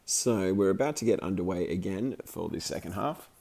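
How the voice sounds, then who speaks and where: A man just over the flu, his voice croaky, giving chatty, rambling commentary over footage of a hockey game he filmed, with plenty of fillers and restarts. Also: deep voice, normal speed, a little mellow. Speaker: amateur hockey commentator and video maker.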